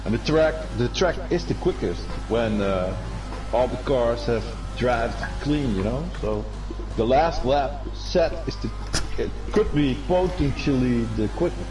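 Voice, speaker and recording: A man whose first language is Dutch co-commentates a sim race in English. He talks at a middling pitch and often stops to search for words with fillers and restarts. Music plays clearly behind his voice. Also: expressive, measured pace, poor audio. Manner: hesitant, many fillers and restarts. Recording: music in the background.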